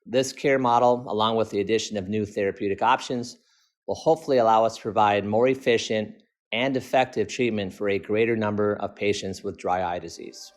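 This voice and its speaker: Low-voiced man reading a clinical article. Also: steady tone, brisk. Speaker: optometrist reading own article. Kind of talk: scripted narration of a clinical article.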